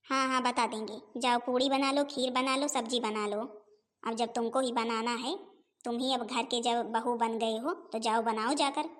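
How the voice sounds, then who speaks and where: Cartoon mother-in-law, played by a woman: middle-aged, domineering, high voice, mocking repeats. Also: fairly even intonation, moderate pace, lightly acted. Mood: bossy, sarcastic. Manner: scolding, taunting, repeats phrases mockingly. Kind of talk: family comedy cartoon dialogue.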